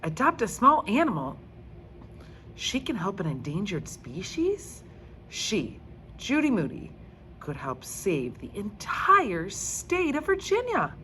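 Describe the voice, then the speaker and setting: An eager, cheeky schoolgirl acted out by a woman reader in a storybook. The voice swoops dramatically and stretches words out for emphasis, at a slow pace. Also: medium pitch, huge pitch swings, pushed and bright, slight background noise, voice pushed up, heavily acted. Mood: amazed, excited. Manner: exaggerated, drawn-out emphasis. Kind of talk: children's storybook read-aloud.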